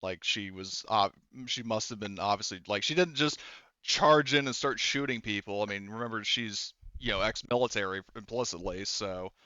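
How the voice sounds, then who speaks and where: A man narrating a tabletop game as game master in a casual, halting, sometimes profane way. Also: fairly low pitch, sharp tone, smooth, dry recording, dull audio.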